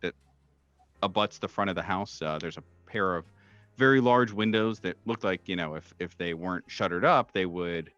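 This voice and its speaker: A man with a low voice runs a tabletop horror game as game master. He narrates haltingly, pausing as he improvises descriptions. The sound is dry and slightly dull and lo-fi. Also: a bit bright, dry recording, soft background music. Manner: halting, with many pauses.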